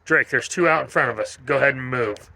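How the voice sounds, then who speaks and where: A man's voice: mid-range voice, fast talker, vocal fry, background noise. A man giving a casual, informal product demonstration to viewers in an outdoor review vlog. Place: outdoors, partly riding in a vehicle.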